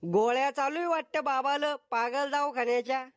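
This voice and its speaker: A man's voice: very high-pitched, steady tone, normal speed, no room sound. A man voicing an exasperated, sarcastic middle-aged father in a comedy cartoon, with a scolding manner. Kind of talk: Marathi comedy cartoon acting.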